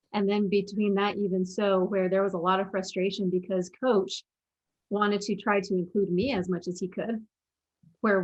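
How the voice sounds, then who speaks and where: A woman's voice: mid-range voice, darkish tone, breathy edge, lo-fi sound. A young adult woman speaking as an interview guest, earnestly telling her story and quoting her own past thoughts. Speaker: guest, former pro basketball player and mental performance coach.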